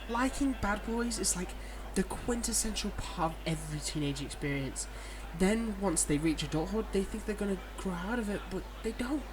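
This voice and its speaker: Higher-voiced cocky, flirty villain acted by a man. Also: animated, normal speed, few pauses, noisy background. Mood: teasing, insistent. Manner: smug, teasing, coaxing and mock-defensive. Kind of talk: casual audio roleplay addressed to the listener.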